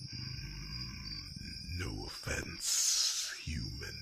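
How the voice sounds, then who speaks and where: An ancient, courteous dragon, rumbles in a heavily creaky near-whisper. The phrasing is extremely slow, formal and proud, as in a fantasy audio roleplay. Loud cricket-filled nature noise sits behind the voice. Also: male voice, expressive, exaggerated voice. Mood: haughty, grave. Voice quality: very deep, gravelly, breathy near-whisper. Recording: noisy track with loud nature ambience.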